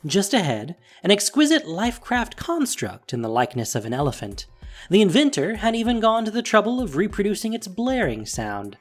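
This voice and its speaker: A man narrating a fantasy audio drama in a dramatic, scripted storytelling style. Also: a little high. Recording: faint music behind voice. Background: faint music.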